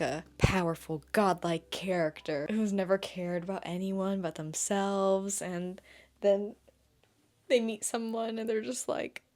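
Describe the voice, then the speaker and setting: A woman's voice: medium pitch, darkish tone. A woman chatting casually to camera as a book vlogger, with an effusive, dramatic and slangy manner. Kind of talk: casual chat to camera in a reading vlog.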